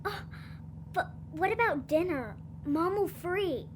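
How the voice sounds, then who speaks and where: Casting: female actor for sulky little daughter, petulant high voice, slight background noise, audio drama. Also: animated, slow pace, heavily acted. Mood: wary, softening. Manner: whiny, petulant. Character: sulky, indignant young schoolgirl daughter. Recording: faint hum and noise.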